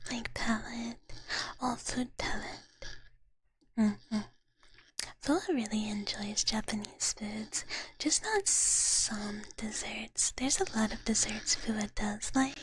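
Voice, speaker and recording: A woman whispering softly and breathily in casual ASMR chat close to the microphone, with a cutesy, girlish way of talking. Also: a little high, lively intonation, slow, long pauses, husky, dry recording, rough recording. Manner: girlish cutesy talk, refers to herself in third person. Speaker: ASMR live streamer.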